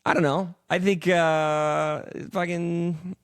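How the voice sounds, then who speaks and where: A man's voice: a little high, level tone, slow pace, smooth, no echo, clean studio sound. A young adult man hosting a podcast, talking loosely and opinionatedly, with casual profanity. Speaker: podcast host. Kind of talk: casual chat.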